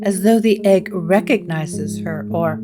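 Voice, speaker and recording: A woman narrating a scripted gothic audio drama in a measured, solemn, atmospheric manner. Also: lowish voice, over music.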